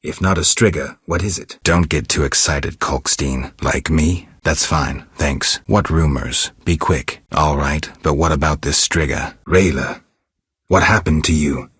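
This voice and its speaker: A stoic monster hunter from a fantasy game, with an extremely low, raspy voice. He speaks in terse, guarded, deadpan phrases, gruff and dry, recorded close and without any room sound. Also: unhurried, no echo, heavily acted. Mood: terse, businesslike. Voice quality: gravelly, husky. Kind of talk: fantasy video game voice acting.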